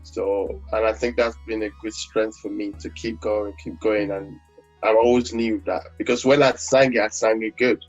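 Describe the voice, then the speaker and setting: A Nigerian man, a gospel singer, talking in a relaxed interview, rambling with many fillers and restarts. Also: fairly low pitch, moderate pace. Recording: dull lo-fi audio with faint music.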